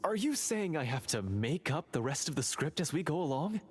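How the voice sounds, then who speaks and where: Guilt-ridden hunter. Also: male voice, a little high, very animated, normal speed, slight background noise. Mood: earnest. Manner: earnest, theatrical. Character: remorseful male hunter, dramatic and guilt-ridden. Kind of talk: game voice acting. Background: game music.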